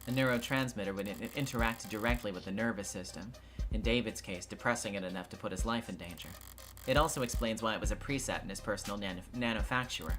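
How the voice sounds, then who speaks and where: A man's voice: lowish voice, fast talker, a bit bright, soft background music, lightly acted. A man voicing a cool, sardonic investigator in video game dialogue, questioning in a dry, teasing and pointed way. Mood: measured, deductive. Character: cool, sardonic, probing investigator interrogating a suspect.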